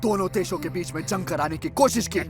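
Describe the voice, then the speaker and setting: A man's voice: high voice, fast talker, over music. A man playing a bold, upright voyager in a cartoon dub, speaking in an earnest, declarative way. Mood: accusing. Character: bold, upright male voyager back to expose traitors. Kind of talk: Hindi cartoon dub acting.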